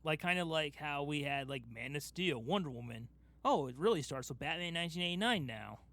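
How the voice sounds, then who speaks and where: Emphatic, higher-pitched man podcasting. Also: expressive, fairly quick, a bit bright. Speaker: podcast host. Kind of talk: casual fan discussion.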